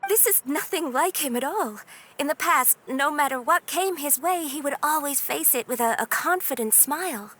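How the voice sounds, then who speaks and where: A woman's voice: high-pitched, animated, moderate pace, sharp tone. A woman playing an earnest, agitated young sword disciple in a video game, emotional and insistent. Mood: anxious.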